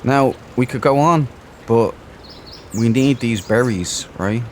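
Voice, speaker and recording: A man's voice: medium pitch, slow, slight background noise. A man hosting a let's play, thinking aloud in casual, cheeky, jokey commentary.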